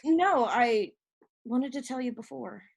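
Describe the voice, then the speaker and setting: An actress playing an earnest, defensive young woman, a halting and pleading younger sister in a stage play. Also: a little high, animated, vocal fry, husky, heavily acted. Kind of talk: naturalistic one-act stage play.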